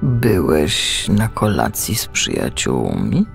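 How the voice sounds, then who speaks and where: Male reader as orderly father humpback, gently quizzing, measured and creaky, children's audiobook. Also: extremely low pitch, huge pitch swings, soft background music, voice pushed down, exaggerated voice. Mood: earnest, checking. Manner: slow, deliberate questioning.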